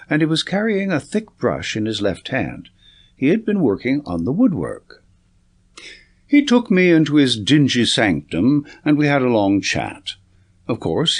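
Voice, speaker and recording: Man, literary narration, mid pitch, highly varied intonation, measured storytelling. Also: husky. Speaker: audiobook narrator. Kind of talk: scripted literary narration, dramatised reading.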